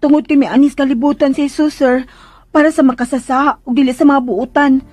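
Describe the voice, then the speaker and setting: Casting: woman as chatty young Filipino maid, radio soap, very high whiny voice, deferential and hesitant. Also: fairly even intonation, brisk, a little mellow, soft background music, natural voice. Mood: chatty, earnest. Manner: flustered, hesitant, whiny pleading. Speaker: radio drama actress. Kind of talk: Filipino radio drama acting.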